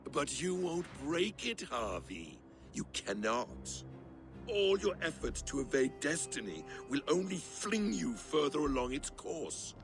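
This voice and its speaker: Mocking trickster god, animated, gravelly, game dialogue, faint music. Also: male voice, fairly high pitch, a little slow. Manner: sneering, mocking. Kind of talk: video game voice acting.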